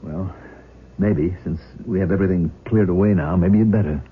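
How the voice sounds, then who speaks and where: An actor playing a gentle, apologetic older father in a 1970s radio drama, speaking carefully and hesitantly. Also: sits low, normal speed, natural voice. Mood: gentle, uneasy. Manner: hesitant, careful, repeating words. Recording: dull lo-fi radio broadcast, faint noise.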